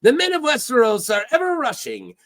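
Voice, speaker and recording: A man voicing a flamboyant, boastful, teasing pirate captain from a fantasy novel, with a put-on foreign accent and a theatrical, halting delivery. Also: very high-pitched, wildly swooping pitch, a bit bright, voice pushed up, full character voice. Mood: mocking, scheming.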